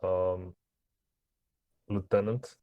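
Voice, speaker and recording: A man's voice: deep voice, flat delivery, extremely slow, no echo, lo-fi sound. A man playing a tabletop roleplaying game, giving terse, laconic replies. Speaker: tabletop RPG player.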